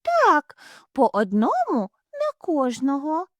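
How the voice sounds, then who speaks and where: A woman voicing a tiny excitable bunny child with a squealing, pleading manner. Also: high-pitched, slow, dry recording, studio quality, voice pushed up, heavily acted. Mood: curious.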